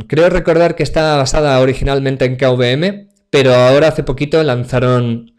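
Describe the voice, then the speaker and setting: A man's voice: medium pitch, a little mellow. Chatty man giving a live tech talk. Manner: chatty and joking, speaks straight to the audience. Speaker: cloud computing speaker. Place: home office, indoors. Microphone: webcam video call.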